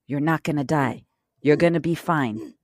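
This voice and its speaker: A woman's voice: low-pitched, normal speed, darkish tone, no room sound, clean studio sound. A woman playing a tough but protective career robber in an audio drama, speaking bluntly and with profanity in a steadying way. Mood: soothing.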